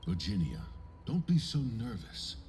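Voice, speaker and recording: Deep-voiced, animated courtly sage. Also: male voice, a little slow, slight background noise. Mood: genial, formal. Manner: florid, formal, encouraging. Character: courtly, genial male sage and imperial advisor. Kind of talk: video game voice acting.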